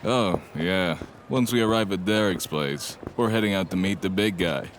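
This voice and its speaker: A man's voice: deep voice, moderate pace, background noise, natural voice. A man playing a cocky, playful young vampire alchemist in an audio drama, bossy and streetwise in manner. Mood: casual, upbeat.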